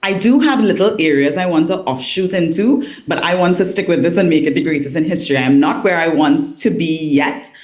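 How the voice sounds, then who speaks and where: A woman's voice: low-pitched, brisk, few pauses. A woman from Trinidad and Tobago, a business owner, answering interview questions over a video call in an articulate way and laughing easily. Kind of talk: remote interview.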